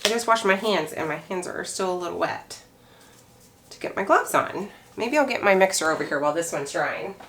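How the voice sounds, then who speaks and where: A hoarse woman, middle-aged, chatting casually as she walks through a craft recipe at home, thinking aloud as she goes. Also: fairly low pitch, a little fast, noisy background. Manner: chatty, relaxed, thinks aloud while working. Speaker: craft maker. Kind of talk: casual how-to chat.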